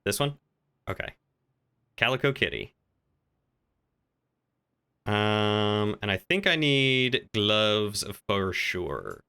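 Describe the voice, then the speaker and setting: A man talking casually on a gaming livestream, chatty and playful, thinking out loud as he goes. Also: lowish voice, big pitch swings, very slow, long pauses, clean tone.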